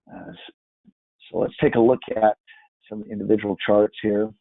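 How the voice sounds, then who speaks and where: A hoarse man walking through market charts on screen, hesitant and full of fillers and restarts. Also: fairly low pitch, dry recording, phone-quality sound. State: hoarse from yelling. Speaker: market analyst. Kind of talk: screen-share chart walkthrough.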